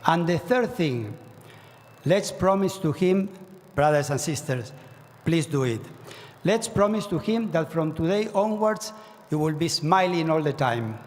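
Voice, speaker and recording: A man's voice: on the high side, expressive, unhurried. A man giving an earnest devotional address to a large gathering, pushing hard on key phrases. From Argentina. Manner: earnest, exhorting, with fervent rising emphasis. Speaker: speaker at a spiritual gathering. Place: large hall. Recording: long reverb of a large hall.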